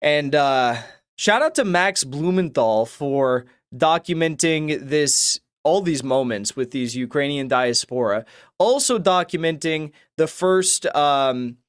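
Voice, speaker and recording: A man's voice: medium pitch, clean tone. A man hosting an opinionated political commentary show, sarcastic and dismissive.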